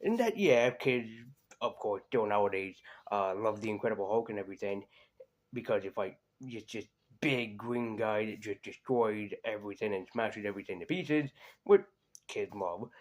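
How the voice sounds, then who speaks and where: A man's voice: lowish voice, animated, moderate pace. A man reviewing cartoons in a casual, conversational way, hesitating and drifting into asides as he goes. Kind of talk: casual rambling review commentary.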